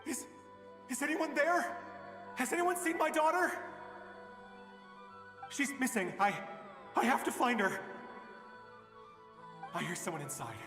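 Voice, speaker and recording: An actor playing a frightened, anxious father searching for his missing child in a video game, halting and bewildered. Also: extremely high pitch, lively intonation, sharp tone, exaggerated voice. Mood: worried searching. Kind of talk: dramatic video game voice acting. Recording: echoey, long reverb. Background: game music.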